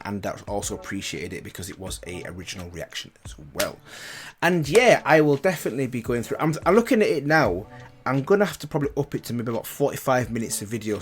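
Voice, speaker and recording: A man hosting a reaction video with casual commentary, thinking aloud and repeating himself. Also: fairly low pitch.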